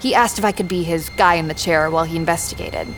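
A woman's voice: lowish voice, fast, over music, natural voice. A woman playing a cocky, wry young undercover spy in an audio drama. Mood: cagey, teasing.

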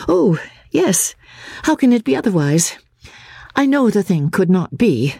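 A woman voicing a resolute but anxious Victorian gentlewoman in a dramatic audiobook reading, earnest and vehement. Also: medium pitch, very animated, a little slow, creaky, slightly rough, no echo, clean studio sound, voice pushed up, heavily acted. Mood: uneasy, wistful.

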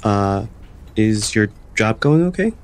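A man's voice: on the low side, lively intonation, slow. A man playing an awkward, anxious young adult in a fiction podcast, speaking in a natural, self-conscious and hesitant way.

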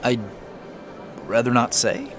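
A man's voice: medium pitch, steady tone, takes it slowly, fairly bright tone. An actor playing a guarded, reluctant man of few words in an audio drama, answering tersely and hesitantly.

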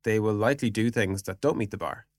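A man's voice: lowish voice, quick pace, a little mellow, dry recording, studio quality. A man speaking as a podcast guest in an informal, explanatory way, in casual business conversation peppered with filler words like "you know". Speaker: podcast guest, product executive.

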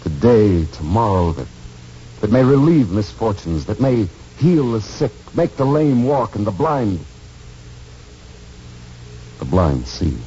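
A grave, gentle military gentleman in an old radio play. His deep, dark voice swings expressively through formal, halting, earnest speeches, on a muffled vintage recording. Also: very animated, slight background noise. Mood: earnest, fervent. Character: gentle, grave older Major explaining a miracle. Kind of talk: 1940s radio drama acting.

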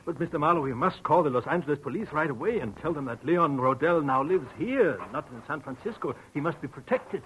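An actor playing an earnest, anxious nursing-home doctor, formal and precise, in a 1940s radio drama with old-recording noise. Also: male voice, a little high, lively intonation, a little fast, few pauses, a bit bright, rough recording. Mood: worried. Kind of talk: 1940s radio detective acting.

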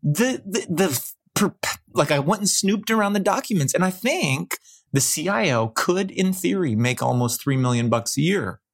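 A man co-hosting a podcast, chatting casually about investing, with halting restarts and quick laughs. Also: sits high, animated. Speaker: podcast co-host.

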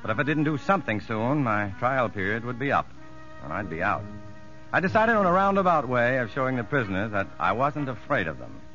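Animated, educated prison psychologist. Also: male voice, mid-range voice, a little fast. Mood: reflective narration. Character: shrewd, composed male prison psychologist telling his story. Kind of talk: 1950s radio drama acting and narration. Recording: muffled vintage radio broadcast with music.

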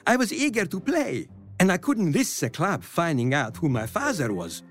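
A man's voice: on the high side, sing-song, normal speed, soft background music. An actor playing a grown football star who fondly and wryly tells of his boyhood. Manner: fond, wry first-person storytelling.

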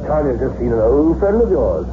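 A man's voice: mid-range voice, very animated, normal speed, noisy background, natural voice. An actor playing a sly, knowing male spy in a 1960s radio thriller. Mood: sly amusement. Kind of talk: 1960s radio drama acting. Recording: muffled narrow-band old radio, loud music.

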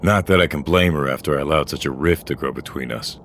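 Weary old courier, extremely low voice, brooding, sardonic, noir radio play, faint ambient noise behind. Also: male voice, quick pace, a bit bright. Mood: brooding, regretful. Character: weary, bitter old sci-fi courier, gruff, sardonic loner. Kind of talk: first-person noir audio drama acting.

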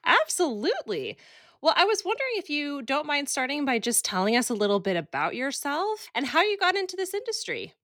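A woman's voice: high-pitched, huge pitch swings, a little fast, fairly bright tone, dry recording, studio quality. A woman hosting a podcast interview, speaking in a bubbly, enthusiastic way. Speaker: podcast host.

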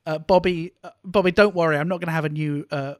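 A man with a fairly high voice, recorded close and dry. He talks like a podcast co-host bantering with friends, animated and joking, with a cheeky, sweary streak. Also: fast talker, dry recording.

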